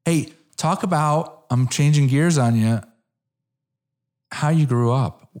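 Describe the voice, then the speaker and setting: A man's voice: a little high, takes it slowly, darkish tone. Chatty middle-aged male interviewer. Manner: conversational, restarts, frequent "you know" and "right?". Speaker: interview host. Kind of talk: casual interview.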